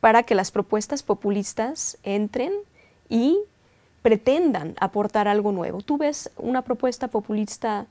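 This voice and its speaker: A woman hosting an interview show, asking thoughtful, measured questions. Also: medium pitch, expressive, darkish tone. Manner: measured, inquisitive. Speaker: interview host.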